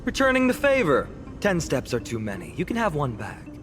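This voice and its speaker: A man's voice: a little high, wildly swooping pitch, fairly quick, over music. A male actor playing a playful, boastful warrior in a video game, with a theatrical, teasing manner. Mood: amused.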